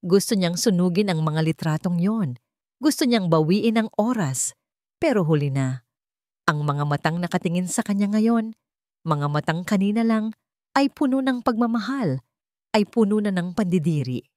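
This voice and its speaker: A woman's voice: fairly low pitch, expressive, moderate pace, a little mellow, dry recording, clean studio sound. A woman storyteller reading a scripted drama narration in a dramatic style.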